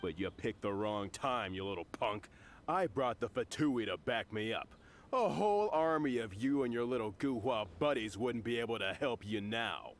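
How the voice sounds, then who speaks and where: A man's voice: mid-range voice, expressive, moderate pace, slight background noise, lightly acted. An actor playing a cocky, bullying male thug who mocks and sneers at his opponents. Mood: sneering. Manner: mocking, sneering, laughs at others. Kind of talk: video game character dialogue.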